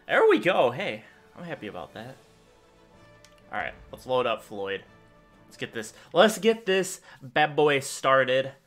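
A young man hosting a gaming channel, thinking aloud in rambling casual commentary while he plays, recorded at a desk in a small room at home. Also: mid-range voice. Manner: rambling, thinking aloud, lively swings in loudness.

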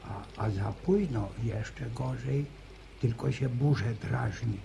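Older superstitious village grandfather, gravelly and slow, noisy vintage radio-play recording. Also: medium pitch, mellow. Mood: stubborn. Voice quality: gravelly, halting restarts. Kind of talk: 1950s radio drama acting.

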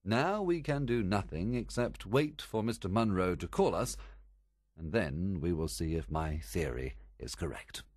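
A man reading a story aloud as a calm, reasoning detective, explaining a theory in a measured way. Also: deep voice, no echo, studio quality.

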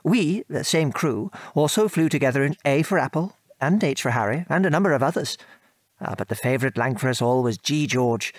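A man's voice: mid-range voice, expressive, brisk, dry recording, lightly acted. An actor playing an affectionate British father and former wartime air force officer, patiently reminiscing in an audio drama. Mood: fond reminiscing. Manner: chuckling, patient, old-fashioned British turns of phrase.

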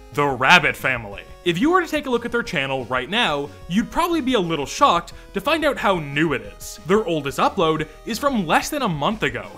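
A man's voice: on the high side, pushed and bright. A man delivering scripted, sarcastic video commentary in a wry, irreverent way, as a YouTube commentator.

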